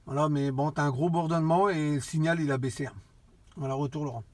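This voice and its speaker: A man chatting casually as an amateur radio operator, halting and often repeating his phrases. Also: on the high side, fairly even intonation, a little hiss or hum. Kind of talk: casual radio contact chat.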